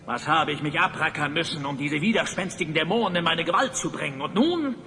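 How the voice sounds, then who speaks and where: An actor playing a pompous, brooding evil sorcerer in a German audio drama, speaking in a theatrical, grandiose way. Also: male voice, fairly high pitch, pushed and bright. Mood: scornful, annoyed. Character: pompous evil sorcerer, self-styled Master of Time. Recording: long reverb, music underneath.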